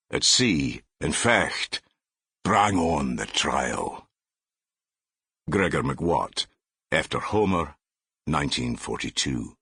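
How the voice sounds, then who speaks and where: A Scottish man giving a deliberate, declamatory audiobook reading of verse and epigraphs. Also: extremely low pitch, sharp tone, husky, no echo, clean studio sound. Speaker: audiobook narrator.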